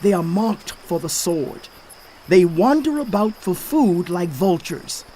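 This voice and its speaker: An actor playing a stern aged sage who rebukes and accuses in a deliberate, declamatory dramatized scripture reading. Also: male voice, sits high, animated, warm tone, slight background noise, low-quality recording. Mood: grave, ominous. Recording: faint outdoor insect ambience.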